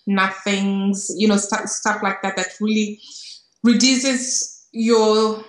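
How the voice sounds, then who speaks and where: A woman's voice: mid-range voice, steady tone, slow. A middle-aged woman with a Kenyan accent tells her personal story reflectively as an interview guest, repeating words for emphasis, over a video call. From Kenya, grew up in Nairobi. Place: small indoor room on a video call. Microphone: earphone headset. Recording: roomy, echoing video call sound.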